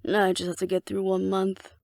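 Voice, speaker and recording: A woman's voice: mid-range voice, completely flat delivery, a little fast, vocal fry, a little mellow, dry recording, studio quality, lightly acted. A woman playing a cocky, flirtatious female alpha werewolf in an intimate audio roleplay, speaking to the listener in a wry, teasing, confident way. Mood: smug, playful bargaining.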